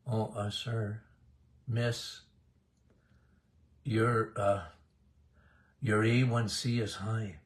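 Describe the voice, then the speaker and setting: A man voicing a timid, hesitant doctor who mumbles his warnings quietly and trails off. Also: fairly low pitch, flat delivery, drawn-out words, long pauses, pitched below the performer's own voice. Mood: meek.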